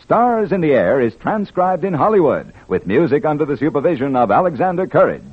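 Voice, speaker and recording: A man's voice: on the high side, sing-song, brisk, slightly rough. A man announcing a 1950s radio show from a script. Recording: thin, muffled old radio recording.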